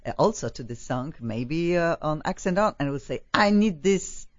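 A woman's voice: low-pitched, animated, normal speed, dry recording. A woman hosting a talk show interview, gushing and enthusiastic, with many fillers. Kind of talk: effusive interview chat. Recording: dull lo-fi, dry.

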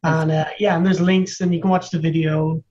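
A young man from Ireland with an Irish accent, a musician guest on a radio show who jokes and talks in a relaxed, self-deprecating way. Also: a little high, fairly quick, muffled recording, soft background music. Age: young adult. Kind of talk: casual radio interview.

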